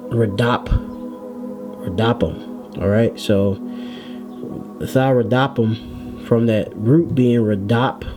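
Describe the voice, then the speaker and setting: A man with a slightly low voice teaches scripture informally, one word at a time. His delivery is very slow, halting and deliberate, with frequent little check-ins to the listener. Music plays clearly behind him. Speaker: religious Hebrew language teacher.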